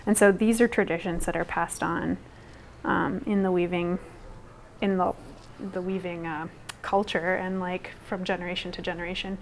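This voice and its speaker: Young woman from Guatemala, Spanish her first language, lowish voice; loose, meandering interview talk full of fillers. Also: steady tone, normal speed, slight background noise, rough recording. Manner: rambling, many fillers and restarts. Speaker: textile artist.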